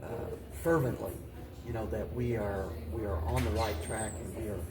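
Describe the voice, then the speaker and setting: An older man giving reflective interview answers as a mayor, haltingly, often repeating and restarting his phrases. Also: lowish voice, steady tone, background noise. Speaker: mayor, talk show guest. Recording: roomy, distant mic, voices in background.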